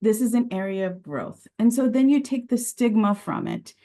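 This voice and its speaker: A woman's voice: fairly high pitch, moderate pace, mellow. A woman whose first language is Sicilian, speaking earnestly and reflectively as a podcast guest, with frequent restarts. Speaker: podcast guest, regulatory and quality director. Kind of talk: conversational interview.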